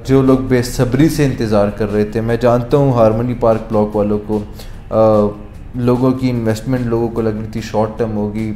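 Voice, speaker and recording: A man's voice: medium pitch, little pitch movement, moderate pace, mellow. A man gives a casual update to camera from his office, mixing English phrases and fillers into his talk. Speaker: real estate presenter. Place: office, indoors. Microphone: lapel mic. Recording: roomy office echo, faint music.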